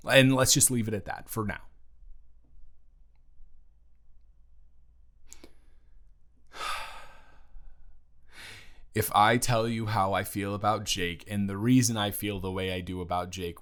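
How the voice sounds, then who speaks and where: A man's voice: lowish voice, lightly acted. A man playing a protective, smitten young friend in an intimate improvised audio roleplay, sincere and flustered, stammering and restarting his phrases. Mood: earnest, reassuring.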